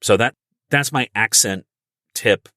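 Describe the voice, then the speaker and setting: A man giving animated, instructive explanations as a guest voice coach in a podcast conversation. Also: lowish voice, unhurried, no echo, clean studio sound.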